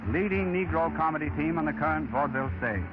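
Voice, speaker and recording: Male 1930s radio announcer, formal and declamatory, slightly high voice, old muffled recording over music. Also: fast.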